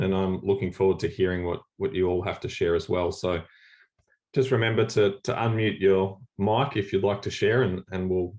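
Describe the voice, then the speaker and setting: A man with an Australian accent speaking as a church leader on a video call, relaxed and rambling with many fillers and restarts, a little sleepy in the early morning. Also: low-pitched. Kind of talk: informal talk on a video call.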